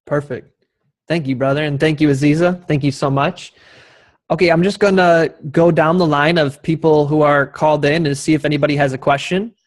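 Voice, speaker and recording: Male host, voice a bit on the high side, polite informal moderator thanking people. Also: fairly even intonation, a little fast. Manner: polite, appreciative.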